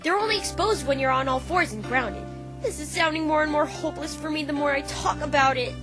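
A woman's voice: high-pitched, fairly quick, close to an everyday voice. A woman voicing a brash, defensive young female pegasus pony in a fan dub, with a casual, cocky delivery. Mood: grumbling. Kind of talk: fan dub voice acting of a visual novel. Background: music.

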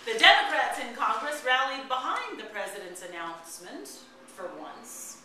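A middle-aged woman reading satirical news headlines aloud from a script, with deliberate, expressive delivery. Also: fairly high pitch. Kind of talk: mock newscast read aloud from script at rehearsal. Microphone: far camera. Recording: echoey room, distant camera mic, faint noise. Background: small group of colleagues in the room.

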